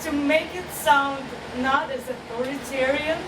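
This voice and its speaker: A woman's voice: high voice, fairly even intonation, unhurried. A woman with a Russian accent asking a question from the audience at a conference, thoughtful and searching for words. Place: conference room, recorded from far off. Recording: echoey room, distant mic, loud hiss.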